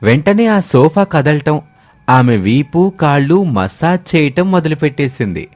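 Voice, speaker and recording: A man's voice: fairly high pitch, sing-song, a little slow, dry recording, soft background music. Male storyteller narrating a moral tale.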